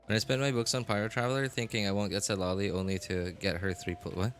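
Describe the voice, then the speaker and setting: A man's voice: lowish voice, flat delivery, fast, over music. A man live streaming a game, chatting casually with viewers and often hesitantly repeating himself.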